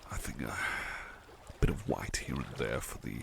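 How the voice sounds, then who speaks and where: A man playing a devoted, tender butler in an ASMR roleplay, murmuring softly and a little hesitantly to the listener. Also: very deep voice, a little slow, gravelly, background noise, exaggerated voice. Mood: gentle, absorbed. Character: devoted, tender male butler who loves painting.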